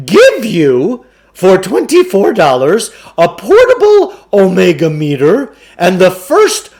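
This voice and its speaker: A man's voice: high voice, a little mellow, pitched above the performer's own voice, full character voice. A man voicing a pompous, wheedling huckster salesman from a storybook, with theatrical sales patter. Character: blustering, wheedling huckster salesman peddling mind-control gadgets.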